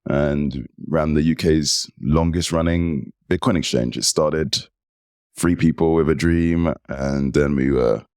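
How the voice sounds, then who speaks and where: A man in his forties with an English accent, telling stories as an animated guest in a casual interview. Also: rumbling low, takes it slowly, no echo, clean studio sound. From United Kingdom. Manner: animated storyteller. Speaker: podcast guest.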